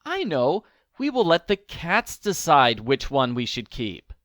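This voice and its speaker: A man's voice: on the high side, huge pitch swings, a little slow, fairly bright tone, no room sound, studio quality, exaggerated voice. A man voicing a very old woman, a sweet but fretful wife in a children's storybook. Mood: thoughtful.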